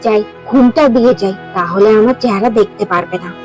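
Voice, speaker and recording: A woman's voice: mid-range voice, brisk, a little mellow, natural voice. A woman voicing a vain, whiny young village wife in a moral-story cartoon, melodramatic and complaining. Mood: irritated, fretful. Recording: music behind the voice.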